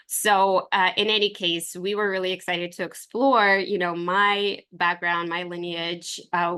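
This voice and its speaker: A woman's voice: fairly low pitch, pushed and bright. A woman from Ukraine giving an informal, enthusiastic online business presentation in a conversational way. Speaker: presenter pitching her herbal supplement line. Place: online video call with screen share.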